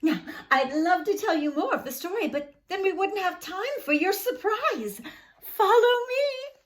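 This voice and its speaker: A kindly storybook ballet teacher, voiced by a woman reading aloud. Her voice is high and very animated, with an inviting, explanatory manner. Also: a little slow. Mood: cheerful, inviting. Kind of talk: children's storybook read-aloud.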